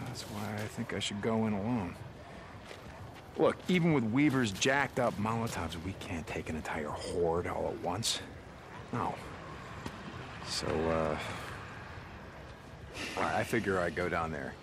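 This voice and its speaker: A gruff, hardened biker drifter. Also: male voice, deep voice, a little slow, long pauses, noisy background. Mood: determined. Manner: rough, blunt, swears freely. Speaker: biker soldier, main character. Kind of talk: video game cutscene acting. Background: game score music.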